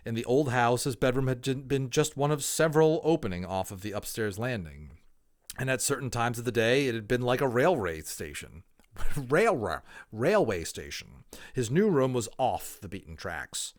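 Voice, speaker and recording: A man reading a storybook aloud as a dramatic narrator, expressive and emphatic, in an informal style. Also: medium pitch, normal speed. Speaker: audiobook reader.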